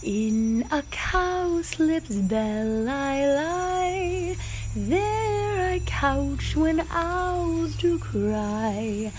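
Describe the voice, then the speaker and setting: Very high, dainty airy spirit. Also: female voice, expressive, extremely slow, a little mellow, faint music behind, exaggerated voice. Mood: merry, dreamy. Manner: playful, sing-song. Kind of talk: lilting verse in a Shakespearean radio play.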